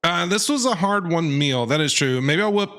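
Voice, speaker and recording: A man's voice: fairly high pitch, expressive, moderate pace, fairly bright tone. A man streaming with casual, playful, chatty reactions to a game as he plays.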